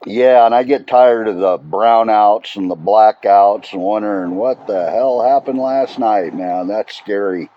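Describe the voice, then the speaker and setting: A man's voice: lowish voice, a little slow, few pauses, slight background noise. A man chatting casually over two-way radio in a laid-back way, often calling the listener "man". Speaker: amateur radio operator. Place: radio transmission. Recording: muffled, echoey two-way radio transmission.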